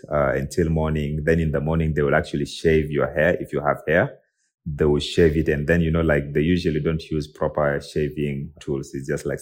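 Man, very deep voice, from South Sudan, halting, restarts a lot. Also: a little mellow. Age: young adult. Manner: halting, many restarts and fillers. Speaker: guest, tech and IP lawyer. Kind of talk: personal storytelling in an interview.

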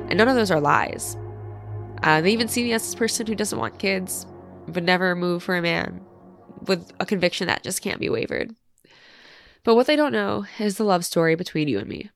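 A woman in her twenties, a podcast host, reading a personal letter aloud while crying and congested, halting and full of fillers. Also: lowish voice, creaky. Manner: tearful, halting, many fillers.

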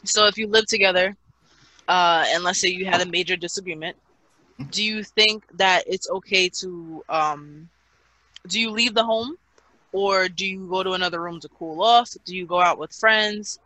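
A man's voice: high-pitched, moderate pace, sharp tone, no room sound, rough recording. A man hosting a casual group chat who laughs often and asks probing follow-up questions. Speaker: host asking the questions.

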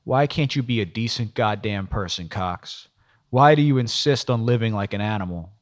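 A man's voice: on the low side, lively intonation, moderate pace, voice pushed up, natural voice. A man voicing an angry, exasperated male police detective in an audiobook, scolding with profane rhetorical questions. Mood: furious, exasperated.

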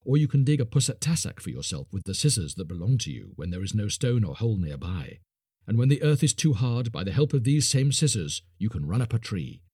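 A man voicing a calm, generous little girl in a storybook reading. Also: on the low side, fairly quick, husky, dry recording, studio quality. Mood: gentle.

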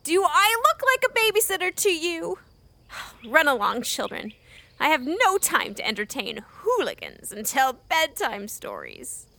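An actress playing a gruff, folksy old woman hermit in a children's fantasy audio drama. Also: high-pitched, wildly swooping pitch, a little slow, bright, forceful tone, no echo, heavily acted. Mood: gruff, dismissive. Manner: crotchety, folksy, theatrical. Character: short, gruff but twinkly old woman hermit Maggie.

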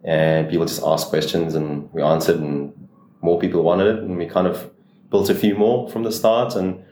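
Man, South African accent, 30s, very low voice, casual guest chat, echoey room. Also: fairly even intonation, moderate pace, dark tone, slight background noise. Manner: easygoing, loose with fillers like "yeah, look, um". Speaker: guest, business owner.

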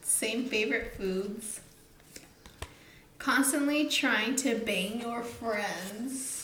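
A young woman co-hosting a casual chat podcast, teasing, swearing casually and laughing loudly. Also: on the high side, extremely slow, a little hiss or hum. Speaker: podcast co-host. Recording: echoey room.

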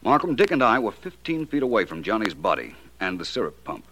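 An actor playing a confident American detective in 1940s radio style, speaking deliberately with self-assured, dramatic emphasis. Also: male voice, on the low side, big pitch swings, fairly bright tone, slight background noise. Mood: assured, explaining. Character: confident, sharp-witted male detective explaining a murder case.

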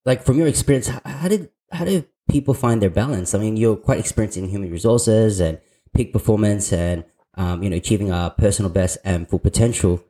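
A man's voice: on the low side, a little fast, warm tone. A man from Australia hosting a podcast interview, enthusiastic and prone to restarting his sentences. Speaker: podcast host.

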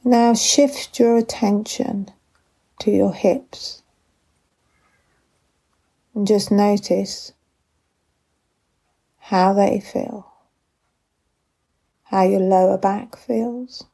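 A woman guides a meditation at an extremely slow pace, leaving long pauses between gentle instructions. Her voice is soft and breathy, with a mellow tone and plenty of creak at the edges. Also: mid-range voice, fairly even intonation. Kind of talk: guided body scan meditation.

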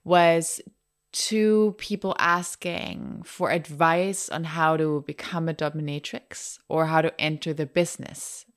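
Woman, podcast host, low voice, casual chatty talk, frequent laughs, filler words. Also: steady tone, a little slow. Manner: chatty, laughs often, uses "like" and "um".

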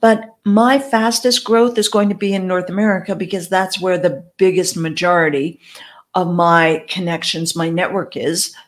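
A woman's voice: on the low side, steady tone, moderate pace. A woman with English as her first language, giving direct, conversational advice as a network marketing coach. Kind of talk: advice talk to camera.

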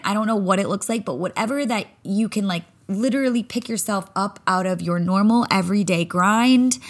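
A young adult woman, a spin instructor, talking candidly and casually on her solo podcast, swearing freely and acting out her own thoughts. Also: mid-range voice.

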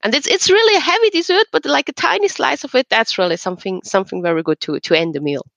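A woman's voice: sits high, lively intonation, fast talker, creaky, a bit bright, no echo. Woman explaining, close dry sound. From eastern Switzerland. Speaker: podcast guest, regional tourism representative. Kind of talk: conversational interview.

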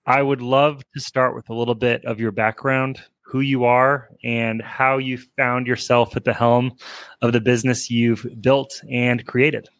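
A man's voice: mid-range voice, flat delivery, unhurried, fairly bright tone. A man hosting an interview podcast, talking to listeners and putting questions to a guest. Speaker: podcast host.

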